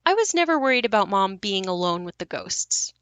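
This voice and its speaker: An actress plays a worried grown daughter telling family memories in an audio drama. Her voice is bright and forward, close and dry, with a conversational, matter-of-fact delivery. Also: mid-range voice, sing-song, brisk, clean tone, no echo. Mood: worried, confiding. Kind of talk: audio play monologue, conversational.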